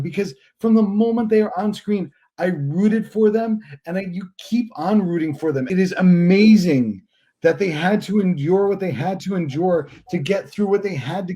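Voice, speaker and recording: A man gushes about a film on a podcast with open enthusiasm. His voice sits high but sounds soft and mellow. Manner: enthusiastic, gushing. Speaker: podcast co-host. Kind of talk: casual film review chat.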